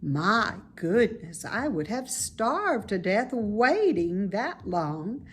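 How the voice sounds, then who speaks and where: Woman as a slow-spoken, wise lady spider. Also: mid-range voice, very animated, a little hiss or hum. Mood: consoling. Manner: measured, instructive. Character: wise, calm, protective female spider, self-assured. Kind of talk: children's storybook read-aloud.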